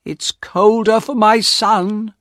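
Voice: medium pitch, fairly even intonation, takes it slowly, fairly bright tone, no room sound, studio quality, pitched above the performer's own voice. A narrator voicing a grieving old mother in an audiobook, pleading urgently and insistently. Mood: sorrowful, bitter. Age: older. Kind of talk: audiobook character acting.

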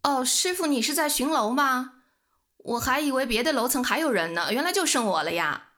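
A woman's voice: high-pitched, expressive, moderate pace, a bit bright. A woman playing an ordinary young office worker, polite and wary, narrating and acting in an amateur suspense audio drama. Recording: echoey, light music behind.

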